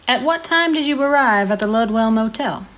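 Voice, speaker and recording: Casting: a woman to play a businesslike female questioner in a radio play, slightly high voice, formal, heard in an echoey room. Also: expressive, normal speed, fairly bright tone. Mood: probing. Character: probing female interrogator, businesslike. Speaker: actor in an audio play. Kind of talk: dramatic audio play interrogation.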